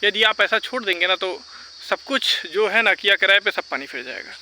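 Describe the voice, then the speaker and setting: A man's voice: high-pitched, expressive, a little fast, pushed and bright, slight background noise. A man giving informal, argumentative advice, insistently repeating his points and mixing in English words. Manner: mixes English words into speech. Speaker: vlogger advising exam candidates.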